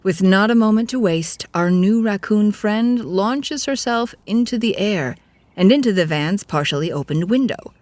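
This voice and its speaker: A woman's voice: medium pitch, expressive, normal speed, darkish tone, no echo. A woman narrating a scripted audio drama in a storybook style. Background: music with guitar.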